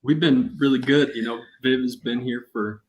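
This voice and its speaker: A man's voice: medium pitch, fairly even intonation, normal speed, low-quality recording. A man who is a guest on a casual interview over a video call. He answers in an easygoing way with short replies. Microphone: computer mic on a video call.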